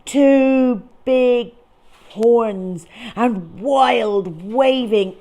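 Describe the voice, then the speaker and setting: A woman reading a picture book to young children in a dramatic, expressive way with deliberate phrasing. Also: fairly high pitch. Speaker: children's storyteller.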